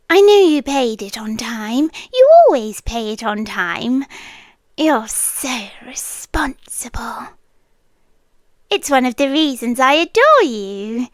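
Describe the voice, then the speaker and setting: A British woman playing a possessive, doting and controlling yandere landlady in an intimate ASMR roleplay, breathy and coaxing, often dropping to a whisper. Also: high voice, no room sound, studio quality, exaggerated voice. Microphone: close ASMR microphone, dry.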